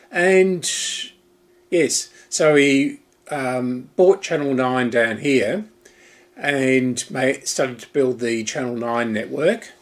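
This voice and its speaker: A middle-aged or older man with an Australian accent, presenting an informal, unscripted history explainer in a halting manner, pausing mid-sentence. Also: mid-range voice. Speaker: presenter of a vintage radio hobby video.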